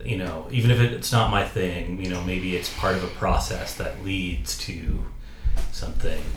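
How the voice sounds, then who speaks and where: A man with a fairly low voice talks in a loose, rambling way, stammering and laughing through his story. The room around him sounds roomy and echoey. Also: slight background noise. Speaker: podcast host. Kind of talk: casual chat.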